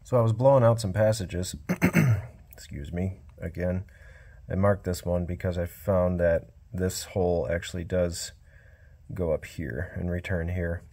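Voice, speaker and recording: A man narrating his small-engine repair work in a casual, quiet, low-key way, recorded on a cell phone in a home workshop. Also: unhurried, vocal fry, breathy edge. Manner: quiet, low-key, self-described monotone.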